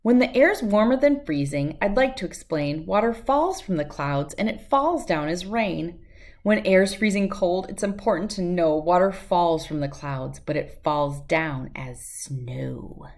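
A middle-aged woman reads a rhyming children's picture book aloud in a measured, sing-song way. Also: on the low side, lively intonation, darkish tone.

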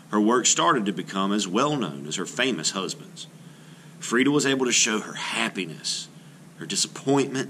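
A man reading a children's biography aloud like an art teacher, in an expressive storytelling way with sentences that trail off. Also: fairly low pitch. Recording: noticeable background noise.

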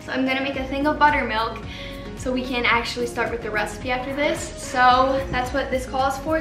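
A teenage girl chatting casually as she walks through a baking tutorial in her home kitchen, explaining each step as she works. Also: sits high. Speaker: baking vlogger. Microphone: far camera on the counter. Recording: roomy kitchen echo, distant mic, music behind.

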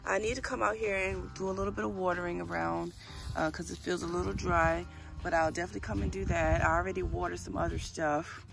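A woman's voice: lowish voice, little pitch movement, quick pace, creaky. A woman hosting a gardening channel, walking viewers through her backyard garden outdoors in a casual, chatty way, filmed on a handheld phone. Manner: chatty, addresses viewers as you guys. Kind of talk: casual garden tour walkthrough. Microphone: handheld phone camera.

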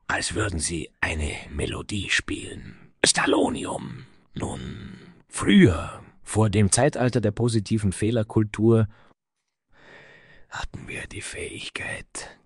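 An actor playing a somber, proud dwarf smith in a fantasy audio drama, grave and dramatic with breathy, hushed phrases and a husky voice. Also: male voice, on the low side, no room sound, studio quality, exaggerated voice. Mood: proud, wistful.

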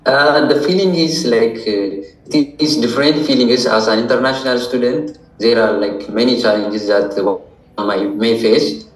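A man's voice: mid-range voice, moderate pace. A man in his twenties with an Ethiopian accent, giving careful, formal answers as an interview guest. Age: 20s. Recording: roomy echo, distant microphone.

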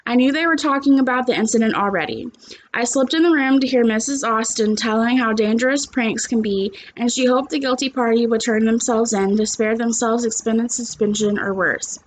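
A woman's voice: fairly high pitch, fairly quick, a little hiss or hum, poor audio. A young adult woman, a teacher, reading a story aloud and chatting to children, recorded at home on a computer's microphone. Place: small living room at home, indoors.

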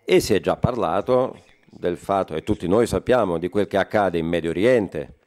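A man interpreting a forum speech live, halting often with fillers and correcting himself mid-phrase. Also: low voice, darkish tone. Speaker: conference interpreter.

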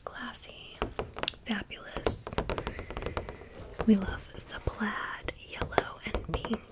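Female ASMR host, breathy unvoiced whisper, unhurried pace, chatty sassy commentary. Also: medium pitch, steady tone. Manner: casual, gushing, sassy slang. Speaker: ASMR video creator. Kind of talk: ASMR doll haul, rambling commentary.

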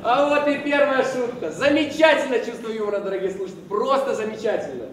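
A teenage boy acting a flattering young radio co-host in a school play, glib and fawning. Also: very high-pitched, fast talker. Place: school auditorium stage. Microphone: far camera. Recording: echoey hall from far off.

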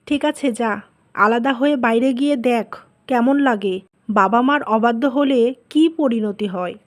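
A woman's voice: fairly high pitch, a little slow, natural voice. A woman playing a scolding, complaining older mother-in-law in a scripted cartoon, insistent and aggrieved. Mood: scornful.

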